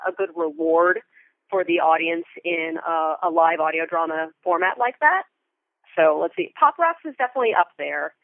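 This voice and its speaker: A woman's voice: on the low side, vocal fry, dry recording. A woman speaking as an interview guest, a Foley artist telling stories in a casual, enthusiastic and descriptive way. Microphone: phone or remote call. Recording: tinny, narrow phone-like line.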